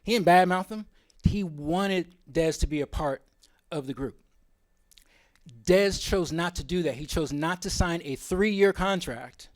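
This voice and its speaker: A man presenting at a symposium in an informal, opinionated way, often wandering into asides. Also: a little high.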